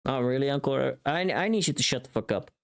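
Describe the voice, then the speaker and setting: A livestreamer talking off the cuff in a mid-pitched voice that moves around a lot. The manner is casual, joking and sweary. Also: expressive, fast talker, creaky. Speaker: streamer making a voice pack.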